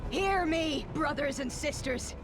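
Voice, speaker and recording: A woman's voice: sits high, slow, over music. A woman playing a fervent, vengeful rebel agitator in a video game, declaiming with theatrical passion. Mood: solemn incitement. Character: fervent vengeful female rebel agitator rallying commoners against nobles. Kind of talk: dramatic video game voice acting, impassioned oratory.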